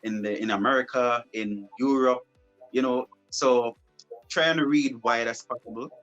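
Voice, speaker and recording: A young man talks through his answers in a relaxed way. He circles back on his words and restarts his phrases. Faint music plays under his voice. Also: mid-range voice, normal speed, lo-fi sound. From Jamaica. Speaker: broadcast journalist guest. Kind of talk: casual interview answers.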